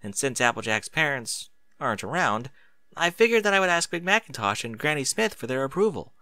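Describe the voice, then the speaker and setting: A man voicing an eager, bookish young female pony in a story, earnest, precise and excitable. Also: medium pitch, a little fast, dry recording. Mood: earnest, happy.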